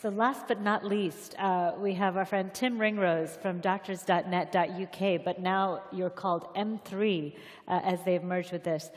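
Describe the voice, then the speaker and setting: A woman's voice: lowish voice, steady tone, a little slow, a faint crowd. Woman hosting in a reverberant hall. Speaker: session host introducing a speaker. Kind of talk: spontaneous stage introduction. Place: conference hall stage. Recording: echoey hall with long reverb.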